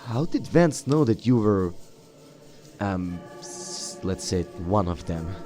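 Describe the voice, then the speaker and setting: A man roleplaying his video game character aloud, speaking in a halting, polite and dramatic way. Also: deep voice, expressive, mellow. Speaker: gamer voicing his player character. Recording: game music behind the voice.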